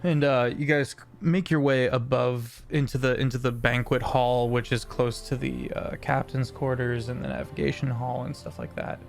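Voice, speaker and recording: Male game master, laid-back gravelly narration with plenty of laughs. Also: medium pitch, steady tone, normal speed, few pauses, faint music behind. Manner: laughs often, rambling narration.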